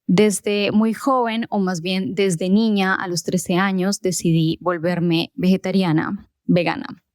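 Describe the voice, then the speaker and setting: A woman in her thirties speaks with a voice pitched a little low for a woman. She tells her own story as a podcast host, earnest and conversational, with small fillers here and there. The sound is close and dry, with no room around it. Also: a little mellow, no room sound. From Colombia. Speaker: podcast host and health coach. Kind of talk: personal storytelling monologue.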